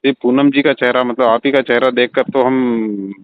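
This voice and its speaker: Tinny phone audio, man playing middle-aged landlord, medium pitch, insinuating, hesitant, repetitive, phone role-play. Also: steady tone, moderate pace, clear, resonant tone, close to an everyday voice. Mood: coaxing, suggestive. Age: 40s. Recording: tinny narrowband phone line.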